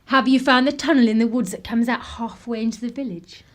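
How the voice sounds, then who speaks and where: Actress as a genteel English lady visitor, high-pitched. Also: fairly even intonation, fairly quick, natural voice. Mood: curious. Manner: genteel, polite. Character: polite, chatty, inquisitive English woman visitor with secrets. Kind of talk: radio drama acting.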